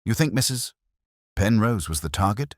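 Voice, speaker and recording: A man voicing a shrewd, unruffled police inspector in a mystery story, with a smooth, dry, measured manner. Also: on the low side, animated, a little mellow, no room sound, pitched above the performer's own voice, lightly acted. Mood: smoothly probing.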